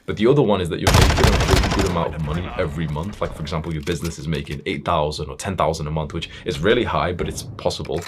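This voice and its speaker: A man's voice: rumbling low, heavy background noise. A young man giving a blunt, stern motivational talk as a self-improvement coach, emphatic and swearing freely.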